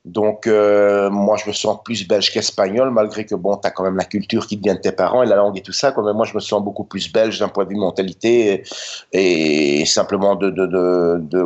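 Low-voiced Belgian man in his fifties. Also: lo-fi sound. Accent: Belgian French. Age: 50s. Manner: rambling, chatty, frequent tag words. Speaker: podcast guest. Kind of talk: casual storytelling interview.

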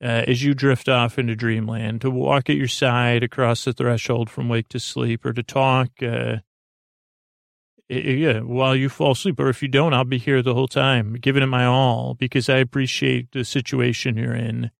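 A man with a creaky, fry-laden voice speaks in a very even, lulling tone on a close, dry recording. He rambles gently and reassuringly like a bedtime podcast host, halting often and drifting through filler words and tangents. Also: mid-range voice, no echo. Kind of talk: rambling soothing bedtime monologue.

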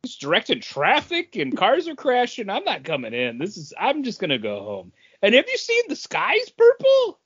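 A man's voice: high-pitched, huge pitch swings, normal speed, few pauses, fairly bright tone, breathy edge, lo-fi sound. A man in casual podcast chat, joking along with his co-hosts in a droll way.

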